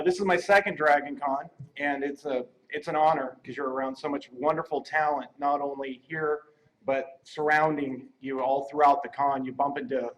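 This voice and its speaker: A wisecracking, irreverent man ad-libbing banter as he presents an award on stage. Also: a little high. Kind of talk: joking ad-libbed live stage banter. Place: convention stage. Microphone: stage microphone. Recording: noisy live event microphone.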